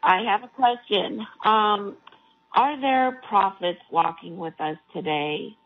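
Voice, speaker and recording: Woman, caller, scratchy husky voice, polite, slow, tinny phone line. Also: medium pitch.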